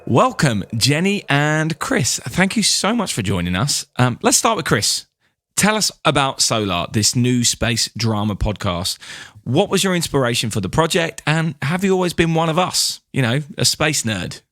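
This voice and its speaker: A man's voice: fairly high pitch, big pitch swings, normal speed, a bit bright, no room sound. Man hosting a casual podcast, dry sound. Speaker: podcast host. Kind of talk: casual podcast chat and interviewing.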